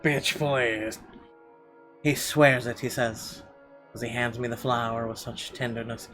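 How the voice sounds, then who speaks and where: A man's voice: mid-range voice, fairly even intonation, unhurried. A man doing casual gameplay commentary, reading story narration aloud and dropping blunt, slangy reactions. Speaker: let's player reading and reacting to a visual novel. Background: game music.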